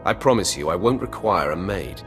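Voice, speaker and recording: An actor playing an ambitious young Sith acolyte in a video game, cool, self-assured and formal. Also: male voice, low-pitched, expressive, brisk, fairly bright tone, soft background music. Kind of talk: scripted video game drama acting.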